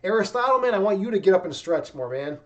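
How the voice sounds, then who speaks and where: A young man with a fairly high voice, hosting a livestream and chatting loosely with his viewers. He talks in an excitable, rambling way, swears freely and tosses in "dude" and "man" all the time. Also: fast talker. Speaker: livestream host. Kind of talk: casual livestream chat with viewers.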